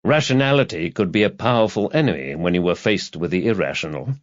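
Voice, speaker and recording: Low-voiced male audiobook narrator, dry muffled sound. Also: lively intonation, fast talker, dry recording. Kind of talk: scripted literary thriller narration.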